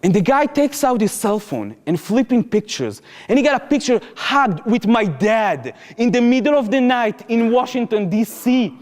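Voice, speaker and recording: A young Israeli man with a fairly high voice addresses a crowd. He talks with earnest, urgent passion. A long reverb from the lecture hall trails his words. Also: big pitch swings, moderate pace, few pauses. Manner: earnest, impassioned, urgent appeals to the audience. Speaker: activist speaker. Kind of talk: public talk, personal storytelling.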